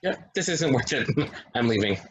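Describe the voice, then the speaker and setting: Casting: actor as brusque, troubled small-town man, mid-pitched everyday voice, stage drama read, background noise. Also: expressive, moderate pace, highs cut off, close to an everyday voice. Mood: dismissive. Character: cynical, brusque, troubled local man seeking solitude. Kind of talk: stage play table reading.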